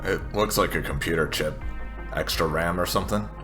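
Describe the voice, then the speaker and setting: Man voicing guarded woman, low bright voice. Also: soft background music. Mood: curious. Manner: halting, hesitant. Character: guarded, hesitant tech-savvy woman seeking help.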